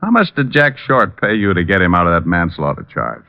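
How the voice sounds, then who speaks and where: An actor playing a wisecracking, hard-boiled private detective in an old radio crime drama, with a wry, needling, slangy delivery. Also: male voice, sits low, sing-song, fairly quick, vocal fry, full character voice. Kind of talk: 1940s-50s radio crime drama acting. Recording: muffled old radio broadcast.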